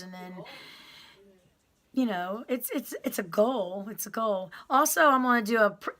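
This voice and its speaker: A woman telling her prison story to viewers in a casual, rambling way, full of ums and restarts. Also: mid-range voice. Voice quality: loud voice that carries.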